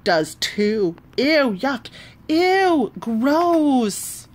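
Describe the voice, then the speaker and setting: Woman acting a scornful, higher-pitched storybook schoolgirl. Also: big pitch swings, drawn-out words, full character voice. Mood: scornful, angry.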